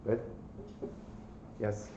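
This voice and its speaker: A man's voice: drawn-out words, background noise. A man with a Turkish accent giving a university lecture, repeating himself and checking in with "okay?" and "right?". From Turkey. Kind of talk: classroom lecture. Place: classroom. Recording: dull, echoey lecture hall recording.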